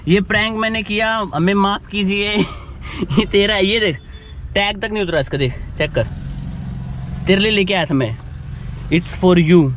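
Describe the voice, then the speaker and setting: High-voiced young man pleading in a car. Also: measured pace, a bit bright. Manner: pleading and teasing, with bursts of laughter. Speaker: prank vlogger. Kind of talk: casual vlog chat. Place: inside a parked car, outdoors on a street. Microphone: camera mounted on the dashboard. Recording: noisy car interior with street noise.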